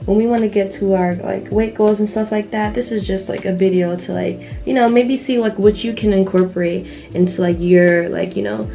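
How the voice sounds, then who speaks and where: A woman's voice: medium pitch, fairly even intonation, moderate pace, vocal fry, mellow, soft background music. A young woman chatting casually to her viewers as a vlogger, in an informal, rambling, slangy way with lots of filler "like". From Ghanaian background. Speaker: YouTube vlogger. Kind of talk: casual chat to viewers, personal storytelling.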